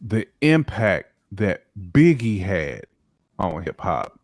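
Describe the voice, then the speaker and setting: A man's voice: sits low, big pitch swings, takes it slowly, no room sound, studio quality. A man co-hosting a casual hip hop discussion, speaking emphatically and repeating phrases to build his points. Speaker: podcast co-host.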